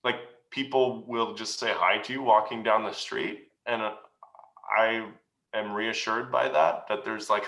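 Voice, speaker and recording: A man answering questions as a guest at a meeting, speaking in an earnest, reflective way with many pauses and restarts. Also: lowish voice, bright, forceful tone. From United States. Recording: roomy echo.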